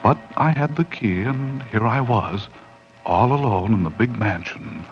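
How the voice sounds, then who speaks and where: Wary horror narrator, dramatic, low-pitched, 1940s radio acting, music underneath. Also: male voice, measured pace. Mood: brooding. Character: wary man narrating his eerie dream of an inheritance. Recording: muffled 1940s radio recording, music behind.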